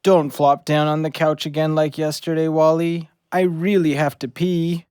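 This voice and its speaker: A man's voice: on the high side, little pitch movement, moderate pace, darkish tone, clean tone, dry recording, studio quality, natural voice. A man playing a loyal, eager rescue dog in an audio drama, earnest and pleading with his human.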